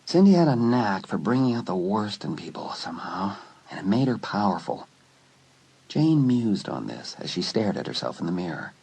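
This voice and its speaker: A man narrating a dramatised teen horror story in an expressive, dramatic storytelling manner. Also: fairly low pitch, normal speed, creaky, husky, poor audio. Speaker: audiobook narrator.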